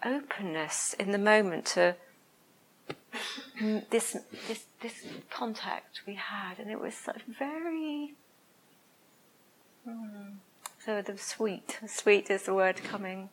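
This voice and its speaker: A woman with a quiet, soft voice leads a group through a reflective meditation talk. She speaks slowly and tentatively, often pausing to find her words before guiding the listeners inward. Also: medium pitch, slight background noise, rough recording. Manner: slow, hesitant, searching for words. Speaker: meditation teacher.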